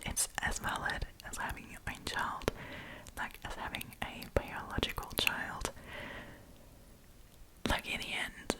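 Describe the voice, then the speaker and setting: A woman whose first language is German whispers close to the listener in an Australian accent. She chats loosely through an ASMR storytime, filling gaps with "like" and stopping to hunt for words. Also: low-quality recording. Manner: whispering, chatty, many "like" fillers, searching for words.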